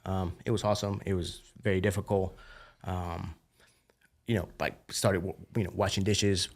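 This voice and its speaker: A man in his thirties with a Colombian accent and a fairly low voice talks as a podcast guest. His telling is loose and animated, full of "dude" and "you know". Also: normal speed. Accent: Colombian Spanish. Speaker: podcast guest, biotech founder. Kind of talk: casual conversational interview.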